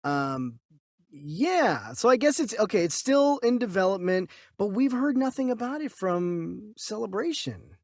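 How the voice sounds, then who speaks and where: A man's voice: sits high, big pitch swings, measured pace, darkish tone, dry recording, clean studio sound. A man co-hosting a casual movie news podcast, talking in a blunt, informal, conversational way. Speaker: podcast co-host.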